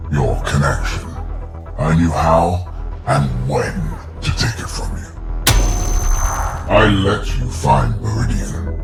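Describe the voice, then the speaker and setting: Very deep, gravelly alien warlord. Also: male voice, echoey room, exaggerated voice. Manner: pompous, slow, gloating. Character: menacing male alien warlord, grandiose and cold. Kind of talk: dramatic video game villain acting.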